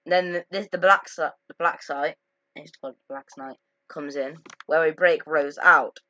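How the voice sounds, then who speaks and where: A young-sounding gamer giving casual commentary on a game's story in a halting way, often repeating and correcting words, on a lo-fi microphone. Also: medium pitch, fairly even intonation, bright, forceful tone, no echo. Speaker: gaming video narrator. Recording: dull lo-fi microphone.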